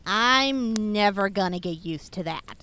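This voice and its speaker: A woman's voice: mid-range voice, expressive, measured pace, sharp tone, slight background noise. A woman voicing a hot-tempered, foul-mouthed traveler who speaks bluntly and with exasperation. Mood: annoyed.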